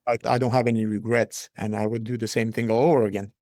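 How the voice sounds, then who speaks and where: A man, a company founder giving measured, matter-of-fact answers as an interview guest. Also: on the low side, flat delivery, a little mellow, no room sound. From Lithuania.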